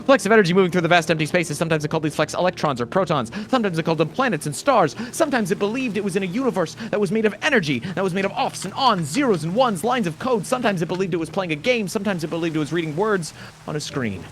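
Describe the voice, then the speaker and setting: A man giving a solemn, theatrical reading of a poem in a storytelling style, with deliberate pauses. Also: high voice, expressive, a bit bright, a little hiss or hum. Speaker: dramatic reader. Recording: voice laid over background music. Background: music.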